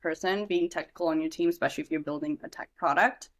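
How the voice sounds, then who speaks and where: A woman's voice: deep voice, fast talker. A young woman from India, a startup founder, talking through her story as a podcast guest, chatty, with many fillers and restarts. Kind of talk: casual podcast interview.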